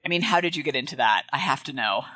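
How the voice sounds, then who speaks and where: Chatty podcast host, middle pitch, upbeat, dry close mic, laughs easily. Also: animated, quick pace, sharp tone, no echo. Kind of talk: casual interview intro and chat.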